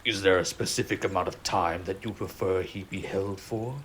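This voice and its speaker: Male actor as protective, commanding king: low voice, measured formal delivery, noisy ambient backdrop. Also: fairly even intonation, a bit bright. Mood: stern, reassuring. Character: benevolent, commanding king, married, courtly and protective. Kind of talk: scripted romantic audio drama acting.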